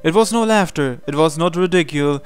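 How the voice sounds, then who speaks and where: A man reading scripted, dramatic story narration in a measured, solemn way. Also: fairly high pitch, clean tone. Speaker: narrator reading translated game text. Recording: music behind the voice. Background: music.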